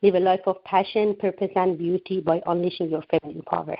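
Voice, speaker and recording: A woman's voice: lowish voice, steady tone, a little fast, creaky, dry recording. Woman, 40s, from Iran, earnest radio guest, restarts a lot, dull dry phone sound. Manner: earnest, many restarts and fillers. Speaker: guest author. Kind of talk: radio interview answers. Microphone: phone line.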